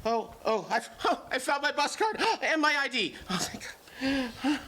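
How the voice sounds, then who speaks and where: Actor as troublemaking adult son, hard-drinking, evasive, defensive, halting, lively intonation, staged reading, faint noise. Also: high voice, exaggerated voice. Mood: relieved.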